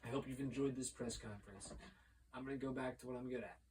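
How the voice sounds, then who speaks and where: A man's voice: medium pitch, steady tone, quick pace, rough recording. An American man from the United States hosting a playful mock press conference in a rambling, mock-formal way. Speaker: vlogger. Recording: loud background noise.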